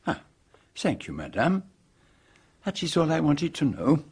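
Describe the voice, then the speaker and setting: An actor playing a fastidious, perceptive Belgian detective in a radio drama, speaking precisely and courteously with a French-speaking Belgian accent. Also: male voice, on the high side, wildly swooping pitch, breathy edge, full character voice. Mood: analytical, intrigued. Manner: precise, explanatory, formally courteous.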